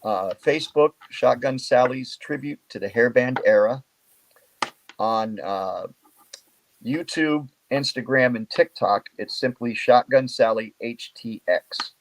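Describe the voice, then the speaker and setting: A man's voice: medium pitch, slow, a little hiss or hum, rough recording. A man from Memphis, Tennessee, a guest musician chatting and plugging his shows in a friendly way. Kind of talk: casual interview chat.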